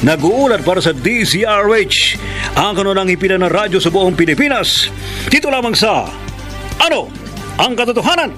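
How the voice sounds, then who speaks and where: A man's voice: high-pitched, expressive, moderate pace, over music. Emphatic male radio drama narrator. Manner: emphatic, announcer-like. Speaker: narrator and reporter.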